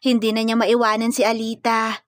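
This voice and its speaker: Woman narrator, fairly high voice, scripted dramatic storytelling, dry studio-like sound. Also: monotone, brisk, no room sound.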